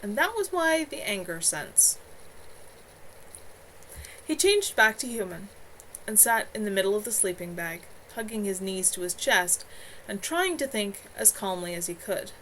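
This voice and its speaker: A woman's voice: medium pitch, lively intonation, a little slow, fairly bright tone. Woman narrating a novel, noisy recording. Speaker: author reading own novel aloud. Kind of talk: live amateur audiobook-style narration. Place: at home. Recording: clearly noisy home livestream recording.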